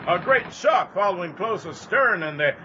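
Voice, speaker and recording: An actor playing a gruff but kindly middle-aged ship's officer with folksy, authoritative talk in an audio drama. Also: male voice, sits high, lively intonation, moderate pace. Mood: stern. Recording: dull lo-fi audio, clear background noise.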